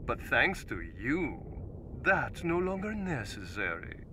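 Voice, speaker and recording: Smug, slow-talking cult leader villain. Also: male voice, mid-range voice, very animated, background noise. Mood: mocking. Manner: pompous, theatrical, slow and mocking. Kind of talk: video game villain acting.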